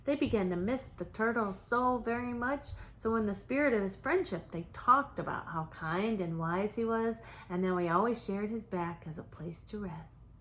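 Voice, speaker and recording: A woman reads a children's story as a storyteller for young kids, in a dramatic manner with drawn-out words and hushed moments. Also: medium pitch, slight background noise, rough recording. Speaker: storybook reader for preschoolers. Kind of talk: expressive children's story narration.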